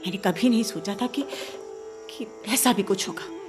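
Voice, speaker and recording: An actress playing a proud, sharp-tongued woman stranded at night, formal and argumentative, in a radio play with music. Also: medium pitch, moderate pace, natural voice. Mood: offended, arguing.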